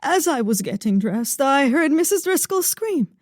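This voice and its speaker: Dramatic distraught widow from an old radio mystery, high pitched, very lively pitch. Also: female voice, moderate pace, no echo, clean studio sound. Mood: distraught, pleading. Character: melodramatic, distraught noir widow, breathlessly sincere. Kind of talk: old-time radio melodrama acting.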